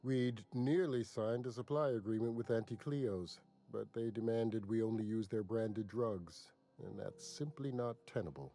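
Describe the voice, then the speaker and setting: A man playing a weary, polite hospital official in a video game, speaking in a measured, apologetic way. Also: lowish voice, warm tone, lightly acted. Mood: regretful.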